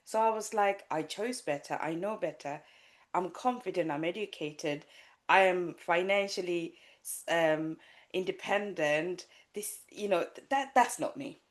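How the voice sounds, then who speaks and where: Low-voiced woman telling her story haltingly. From Sri Lanka. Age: adult with a child. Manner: candid, halting, frequent restarts and fillers. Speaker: life coach, guest. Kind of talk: personal story in a podcast interview.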